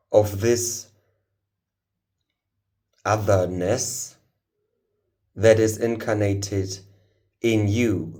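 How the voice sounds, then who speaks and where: Very slow, low-voiced man guiding meditation. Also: darkish tone. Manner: halting short phrases with long pauses, solemn. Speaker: spiritual guide leading a meditation. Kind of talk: guided meditation, channelled spiritual talk.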